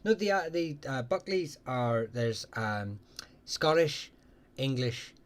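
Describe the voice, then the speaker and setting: A man's voice: mid-range voice, expressive. Hesitant man hosting a live history chat. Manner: halting, many fillers and restarts. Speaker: livestream host answering viewer questions.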